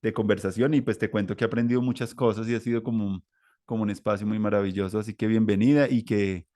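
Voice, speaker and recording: A man's voice: on the low side, fairly even intonation, quick pace, mellow. A man hosting an interview podcast, talking in a rambling way with many fillers and restarts. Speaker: podcast host. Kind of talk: conversational interview.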